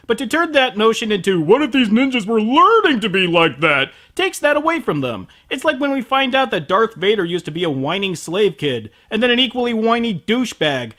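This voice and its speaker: A man's voice: high-pitched, a bit bright. A man hosting a comedic anime review, sarcastic and profane, ranting at the show.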